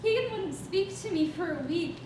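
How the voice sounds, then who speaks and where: A woman's voice: lively intonation, moderate pace, darkish tone. A woman acting in a staged reading as a guilt-ridden, defensive young woman on a space mission, speaking in an emphatic, confessional way. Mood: reminiscing. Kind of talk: staged reading, dramatic monologue. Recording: echoey room, distant mic, rumbling noise.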